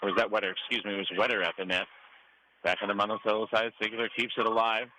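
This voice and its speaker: A man's voice: on the low side, flat delivery, fast talker. A man doing live radio play-by-play of a volleyball match in clipped running calls from courtside in a gym. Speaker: radio play-by-play sports announcer. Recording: clear gym noise behind live radio call. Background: noisy gym ambience.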